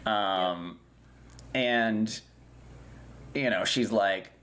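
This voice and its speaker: A man's voice: lowish voice, drawn-out words, a bit bright, a little hiss or hum. Casual man in his thirties, loose rambling delivery, many restarts. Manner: rambling, frequent ums and restarts. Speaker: podcast co-host. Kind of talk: casual chat.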